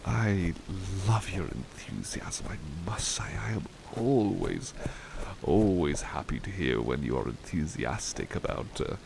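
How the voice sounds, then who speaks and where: A man's voice: deep voice, very animated, measured pace, exaggerated voice. A man playing a devoted, mild-mannered butler in an ASMR roleplay, speaking politely and formally, with hesitant, musing phrasing. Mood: eager, hesitant. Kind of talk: ASMR roleplay, personal attention. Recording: clearly noisy ambience behind voice.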